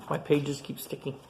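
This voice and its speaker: A man hosting a storytime, reading a picture book aloud to children and stopping to comment on the pictures in simple, repetitive phrases. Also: a little hiss or hum. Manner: pauses to point out and comment on pictures. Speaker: storytime reader and host.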